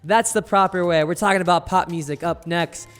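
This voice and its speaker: A young man hosts a casual podcast chat in a high, light voice, playful and upbeat. His voice is slightly raspy from a sore throat, and a long reverb trails behind him in the room. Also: a little fast, a bit bright, soft background music. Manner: playful, upbeat host patter. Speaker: podcast host.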